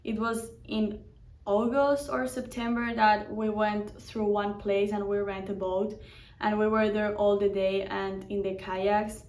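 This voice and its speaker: A woman's voice: mid-range voice, level tone, measured pace, a little mellow. A young woman from Spain, a Spanish native speaker and boarding school student, answering in simple English with frequent "like". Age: young adult. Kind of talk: casual interview answers. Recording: echoey room, mic at a distance.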